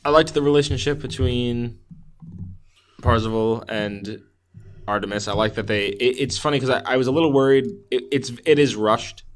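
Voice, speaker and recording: A man's voice: mid-range voice, normal speed. A man co-hosting a podcast, chatting casually about movies and often hedging or backtracking on his opinions. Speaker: podcast co-host. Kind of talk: casual movie discussion chat.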